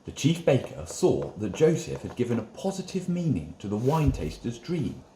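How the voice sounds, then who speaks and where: A man's voice: mid-range voice, very animated, normal speed, dark tone. A man narrating a Bible story as a dramatic scripted reading. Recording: echoey room, faint noise and clicks.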